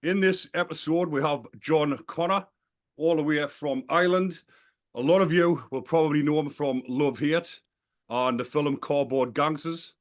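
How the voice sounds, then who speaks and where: A man's voice: a little high, moderate pace. A man hosting a podcast, opening the show and asking questions in a friendly, upbeat way. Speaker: podcast host. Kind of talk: interview hosting.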